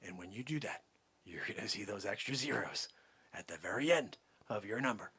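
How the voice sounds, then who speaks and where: Man playing a brash, husky sleazy phone salesman. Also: high-pitched, lively intonation, normal speed, heavily acted. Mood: smooth, confiding. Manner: brash, wheedling, repetitive, swinging between whispers and yells. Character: pushy, bragging, sleazy cold-call crypto salesman. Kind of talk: improvised comic sales patter.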